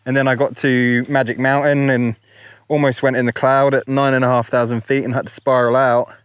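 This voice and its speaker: A man's voice: medium pitch, little pitch movement, a little fast, smooth, no echo. A man in his thirties giving casual, matter-of-fact first-person commentary as a paragliding vlogger, recorded outdoors on an action camera. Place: outdoors, in flight and in an open field. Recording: wind and outdoor noise.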